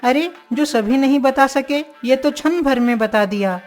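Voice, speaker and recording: High-pitched haughty young princess played by a woman. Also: a little slow, darkish tone, faint music behind. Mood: composed. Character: haughty, clever young princess, bossy and threatening. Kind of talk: Hindi cartoon story voice acting.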